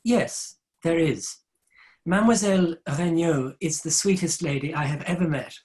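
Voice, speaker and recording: A man acting an earnest, defiant young Victorian gentleman in a dramatic play reading. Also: fairly high pitch, normal speed, close to an everyday voice. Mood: earnest, lovestruck.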